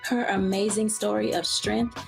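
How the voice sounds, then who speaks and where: A woman's voice: mid-range voice, steady tone, a little slow, creaky, poor audio. A woman who hosts a show, reading a scripted promotional narration. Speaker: show host. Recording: music bed under promo voice.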